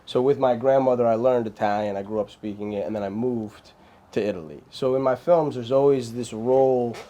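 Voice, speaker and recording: Mellow-voiced man talking about filmmaking. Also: medium pitch, brisk. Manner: animated, rambling, frequent restarts and fillers. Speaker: filmmaker guest. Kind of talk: casual interview chat.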